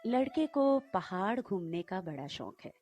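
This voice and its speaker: A woman co-hosting and telling stories to listeners in an unhurried way. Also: medium pitch, sing-song, a little mellow, highs cut off, faint music behind. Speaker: co-host and storyteller.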